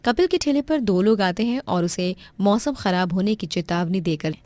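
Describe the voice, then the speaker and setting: A woman narrates a scripted moral tale. Her pitch is medium and the recording is very dry. She delivers it as a storyteller. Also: moderate pace, darkish tone, dry recording.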